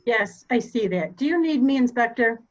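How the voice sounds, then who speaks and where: A composed widow played by a woman with a slightly high voice, heard in dull, lo-fi audio. She is polite, formal and controlled, in a murder mystery reading. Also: expressive, normal speed, a little hiss or hum, natural voice. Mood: guarded, formal.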